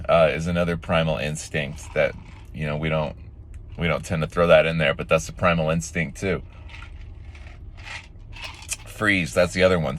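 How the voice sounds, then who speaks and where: Low, raspy-voiced male vlogger, sore throat, casual rambling delivery. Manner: rambling, many fillers and restarts. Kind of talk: casual morning vlog talk.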